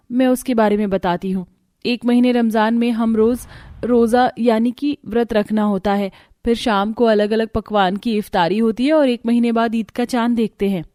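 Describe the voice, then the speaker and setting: A woman voicing a gentle, deferential young bride in a cartoon family story. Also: on the high side, dry recording, clean studio sound, natural voice.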